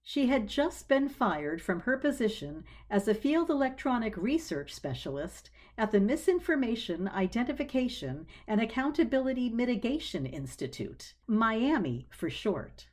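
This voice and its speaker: A woman narrates a scripted story with expressive delivery. Her voice sits a little high and moves through lively rises and falls. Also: moderate pace, hardly any pauses.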